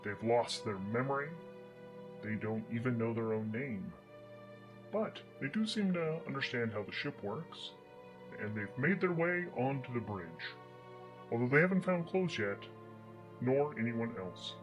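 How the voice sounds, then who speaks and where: A man's voice: mid-range voice, lively intonation, measured pace, music in the background. A man narrating a solo tabletop game as host and game master, in a conversational storytelling manner.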